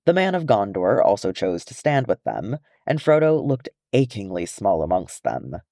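A man reading a fantasy story aloud as expressive scripted narration. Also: fairly low pitch, moderate pace, dry recording, clean studio sound. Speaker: audiobook reader of fan fiction.

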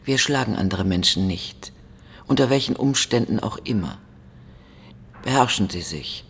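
A woman's voice: a bass voice, expressive, moderate pace, creaky, slightly rough, exaggerated voice. An actress playing a stern, principled older woman who leads a dissident group in a radio drama, firm and reproving. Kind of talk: radio crime drama acting.

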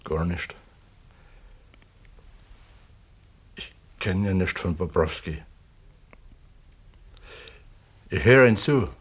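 An actor playing a taciturn, plain-spoken mine worker in his fifties in a 1970s German radio play, terse and unhurried. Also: deep voice, expressive, breathy edge. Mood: reserved. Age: 50s. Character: taciturn, plain-spoken male mine pump attendant of fifty-eight, amateur writer.

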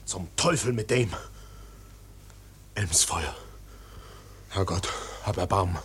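A man acting a cheeky, fearful, garrulous second mate at sea in a radio play, chatty and excitable. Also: low-pitched, slow, slightly rough, full character voice. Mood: frightened. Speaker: actor in a radio drama.

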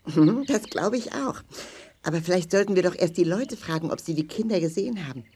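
An actor playing a friendly, sensible and polite leader of travelling companions in a children's audio play, expressive and clearly spoken. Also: medium pitch, fairly quick. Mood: thoughtful. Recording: faint noise with birdsong.

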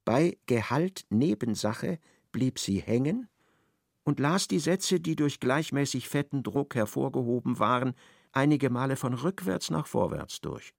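A man narrating a radio play in an ironic, expressive storytelling manner. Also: mid-range voice, measured pace, creaky, no echo, studio quality.

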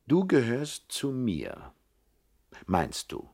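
Jealous gangster boss, swaggering, lively swooping intonation, menacing charm, 1960s radio play. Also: male voice, mid-range voice, very slow, vocal fry, heavily acted. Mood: menacing, sceptical. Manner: swaggering, menacing, mock-gentlemanly. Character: cocky jealous male gangster, charming pickpocket turned crook. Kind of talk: 1960s German radio comedy crime acting.

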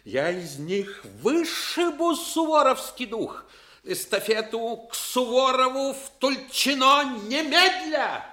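Актёр играет мнительного, властного и усталого русского императора в советской радиопостановке. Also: male voice, extremely high pitch, lively intonation, slow pace, bright, forceful tone, slight background noise, full character voice. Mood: imperious, angry. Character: suspicious, volatile Russian emperor, weary and imperious. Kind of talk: 1980s Soviet radio drama acting.

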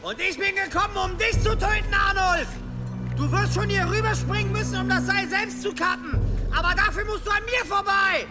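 An actor playing a defiant, vengeful young medieval pilgrim in an audio drama, taunting and challenging. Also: male voice, very high-pitched, moderate pace, music in the background. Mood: grimly insistent.

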